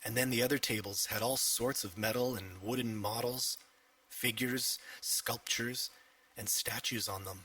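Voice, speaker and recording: A man's voice: mid-range voice, steady tone, measured pace, bright, forceful tone, slightly rough, far from the mic, low-quality recording. A man narrating a scripted children's story as an audiobook reader.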